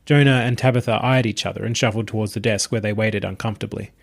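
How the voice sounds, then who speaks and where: A man's voice: on the low side, fairly even intonation, quick pace, gravelly. A man narrating a scripted short story as its reader.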